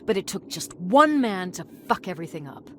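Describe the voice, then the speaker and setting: A blunt, hard-edged resistance commander. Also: female voice, on the low side, big pitch swings, fairly quick, fairly bright tone, slight background noise. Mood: grim, controlled. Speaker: military field commander. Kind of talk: dramatic video game acting.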